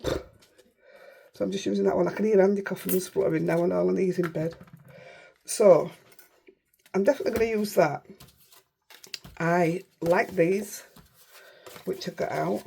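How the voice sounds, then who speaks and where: A middle-aged woman with a Yorkshire accent, hoarse and unwell, chatting through a casual crafting tutorial in a croaky voice and rambling as she goes. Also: fairly low pitch, fairly even intonation, moderate pace, long pauses, mellow, a little hiss or hum. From Yorkshire, England. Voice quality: croaky, rough voice. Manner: chatty, rambling, self-interrupting. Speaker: craft channel host.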